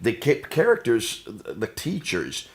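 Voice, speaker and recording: A man's voice: mid-range voice, huge pitch swings, takes it slowly, creaky, slightly rough. An American man co-presenting a discussion, leading it with frequent tag questions. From United States. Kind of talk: studio discussion.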